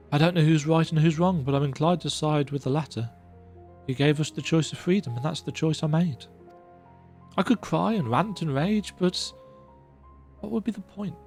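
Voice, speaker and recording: A woman voicing a weary, earnest young male imperial soldier who speaks in a reflective, softly resigned way. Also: low-pitched.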